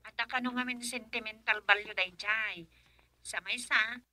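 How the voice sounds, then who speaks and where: Radio comedy role: chatty Filipino woman, actress, piercingly bright and high, recorded close and dry. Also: steady tone, normal speed, no echo. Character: chatty young woman named Rosa on a call. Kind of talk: Ilocano radio comedy drama acting.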